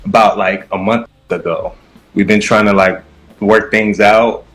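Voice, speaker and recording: A man's voice: fairly low pitch, little pitch movement, a little slow, fairly bright tone, faint music behind, rough recording. A man in his thirties who is a dating show contestant and answers hesitantly and evasively, full of fillers. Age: 30s.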